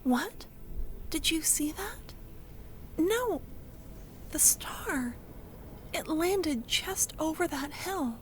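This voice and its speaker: A woman playing a shy, excitable, tender young friend in love in a soft-spoken ASMR audio roleplay, breathy and often near a whisper. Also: sits high, very slow, pauses often, a little hiss or hum, full character voice, low-quality recording. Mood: excited, urgent.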